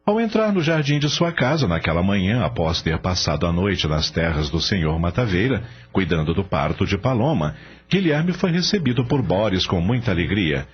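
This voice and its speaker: Deep, expressive male radio-drama narrator. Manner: measured, expressive storybook delivery. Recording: dull lo-fi audio with music behind.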